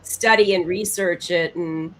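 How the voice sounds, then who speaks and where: A woman's voice: lowish voice, fairly even intonation, unhurried, a little hiss or hum. A woman in casual conversation as a podcast co-host and interviewer.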